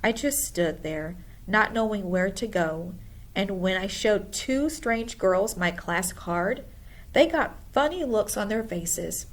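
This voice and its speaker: A woman reading a storybook aloud in a deliberate, expressive way. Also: medium pitch. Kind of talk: storybook read-aloud narration.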